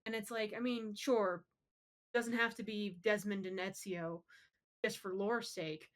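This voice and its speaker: A woman's voice: mid-range voice, steady tone, a little slow. A woman co-hosting a podcast, casually explaining her opinions on films and games with the odd swear. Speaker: podcast co-host. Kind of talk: casual discussion of film and games.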